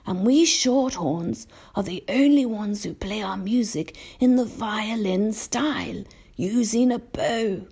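A woman voicing a gentle, courteous old male grasshopper from a storybook, proud and old-fashioned in manner, lecturing without hurry. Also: fairly high pitch, slightly rough, pitched above the performer's own voice, heavily acted.

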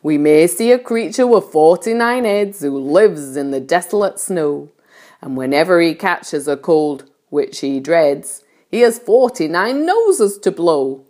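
A woman's voice: lowish voice, animated, measured pace, darkish tone. A woman voicing a boisterous, gleeful male centipede in a storybook, exuberantly declaiming in rhyming verse. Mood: gleeful, theatrical.

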